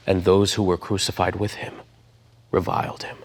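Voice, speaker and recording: A man's voice: deep voice, level tone, moderate pace. A man giving a dramatic narration of a scripture story.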